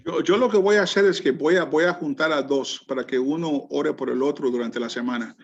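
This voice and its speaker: A man's voice: on the high side, a little fast. A man teaching a Bible class over a video call, chatty, restarting his sentences a lot. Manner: chatty, many restarts and fillers. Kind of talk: online class, lecturing and calling on students. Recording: muffled lo-fi video call audio.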